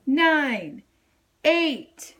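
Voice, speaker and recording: An eager little boy from a children's storybook, played by a woman reader. The voice is high and lively, calling out loudly as if leading a grand adventure. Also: very slow, full character voice. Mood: excited, urgent. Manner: loud excited calling. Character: adventurous, eager young boy playing mission control.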